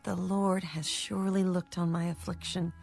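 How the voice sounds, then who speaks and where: Low-voiced yearning, devout wife. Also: female voice, flat delivery, moderate pace, vocal fry, darkish tone. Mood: hopeful yearning. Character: unloved young wife and mother, yearning, devout. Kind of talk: dramatized audio Bible acting. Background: background music.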